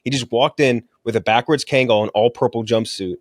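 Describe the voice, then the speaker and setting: A man's voice: mid-range voice, steady tone, a little fast, no room sound, studio quality. A man hosting a casual movie podcast, talking in a blunt, slangy, profane way. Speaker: podcast host reviewing movies.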